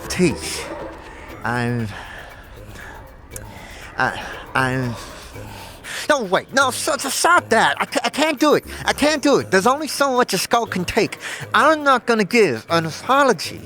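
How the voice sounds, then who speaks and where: Guy doing a grumbly sarcastic talking skull, highish voice that jumps all over, stammery and slow, audio drama w/ music. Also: big pitch swings, pushed and bright, full character voice. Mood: exasperated, indignant. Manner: stammering, flustered, wheedling. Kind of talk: audio drama character acting.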